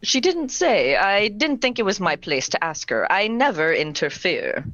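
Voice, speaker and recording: A woman's voice: mid-range voice, sing-song, normal speed, a bit bright, no room sound, dull audio, pitched below the performer's own voice. A woman playing a polite, deferential hotel clerk in a comic radio play, prim and discreet.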